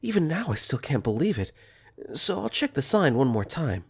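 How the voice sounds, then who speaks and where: A man's voice: medium pitch, big pitch swings, moderate pace, slightly rough. A man voicing an easygoing, wry teenage boy who narrates his own story in a conversational tone with joking asides, for a visual novel fan dub. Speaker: voice actor. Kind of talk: first-person visual novel narration and dialogue.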